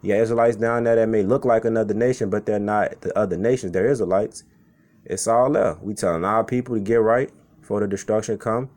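A man's voice: fairly low pitch, fairly even intonation, brisk, a little mellow. A man from Chicago giving an informal sermon as a street preacher, reading scripture and talking it over in a loose, conversational way, often tagging lines with "man" and "okay".

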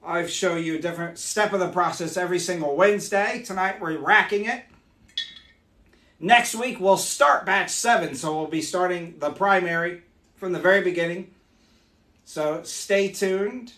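Hoarse man, 40s, higher voice, upbeat live demo, echoey small room, phone camera. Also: normal speed, pushed and bright. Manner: chatty, upbeat, explains as he works. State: hoarse, losing his voice. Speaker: meadery owner hosting a live stream. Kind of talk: casual live demonstration. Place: small home meadery room, indoors.

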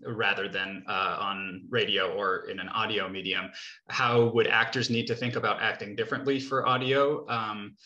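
A man's voice: lowish voice, pushed and bright. A man in his thirties moderating a Q&A over a video call from a room at home. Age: 30s. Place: living room, indoors. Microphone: computer mic on a video call. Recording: roomy, echoing video-call sound.

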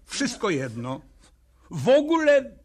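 Huffy troll dad playwright in an old kids radio play, slow n halting, swoops all over. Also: male voice, very high voice, wildly swooping pitch, a little mellow, exaggerated voice. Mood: exasperated. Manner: huffy, theatrical, halting declamation. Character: touchy, flustered father and amateur playwright troll. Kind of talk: 1970s Polish children's radio play acting.

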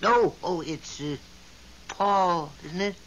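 Absent-minded heavenly elder on hissy radio. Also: male voice, high voice, slow, a bit bright, dull audio, full character voice. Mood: musing, distracted. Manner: vague, distracted, pondering. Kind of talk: 1970s radio drama acting. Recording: hissy 1970s radio recording.

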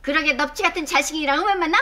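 A woman's voice: high voice, quick pace, bright, forceful tone. An actress in her fifties playing an exasperated, combative mother who nags and scolds in a sitcom. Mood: scolding. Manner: nagging, combative. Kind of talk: sitcom acting.

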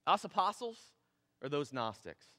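A man in his thirties preaching a casual, animated sermon on a church stage. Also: on the high side, normal speed. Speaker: pastor. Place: church stage with drum kit. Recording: long hall reverb in church.

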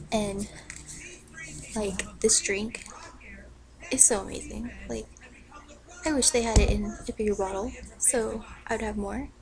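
Hyper young woman rambling at a webcam. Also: a little high. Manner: rambling and hyper, filler-heavy. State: voice low and rough from the cold. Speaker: vlogger. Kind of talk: casual webcam rant. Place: bedroom, indoors. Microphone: built-in webcam mic. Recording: noisy webcam recording with TV behind. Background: TV playing in the background.

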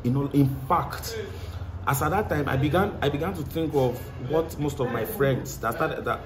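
A man's voice: a little high, moderate pace, over music. A man in his thirties with a Nigerian accent, a mechanic answering interview questions earnestly, repeating phrases for emphasis, on a lapel mic outdoors at his workshop. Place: outdoor workshop by a tiled wall. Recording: clear outdoor background noise.

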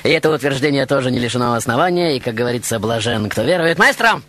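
A man's voice: mid-range voice, expressive, fast, fairly bright tone. A man hosting a radio show with a theatrical monologue, grandiose and playful in his delivery. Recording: radio show over prominent music. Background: music.

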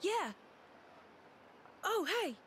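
A woman's voice: very high-pitched, sing-song, very slow, fairly bright tone, a little hiss or hum, exaggerated voice. A woman playing a young, informal, slightly sheepish researcher in a video game. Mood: friendly. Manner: casual, colloquial, breezy.

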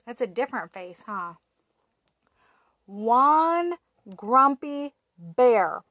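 A woman's voice: on the high side, sing-song, a bit bright, dry recording. A woman in her thirties reading a picture book aloud to toddlers, asking them questions in a sing-song way, recorded on a webcam in a small room. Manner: slow, sing-song, asks little listeners questions. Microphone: webcam at arm's length.

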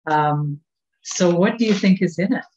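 A Canadian woman in her sixties giving a children's lesson at a church service over a webcam from a small home office, talking gently and asking coaxing questions. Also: fairly low pitch, normal speed, a little hiss or hum. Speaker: children's time leader. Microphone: webcam on a video call. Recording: dull, muffled webcam sound.

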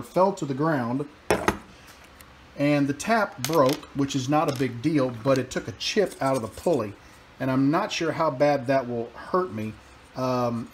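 A man's voice: medium pitch, a little hiss or hum. Chatty man in a home workshop. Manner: relaxed, chatty, with many "uh"s and restarts. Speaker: woodworking and metalworking hobbyist. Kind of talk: casual workshop vlog. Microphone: phone camera held at arm's length.

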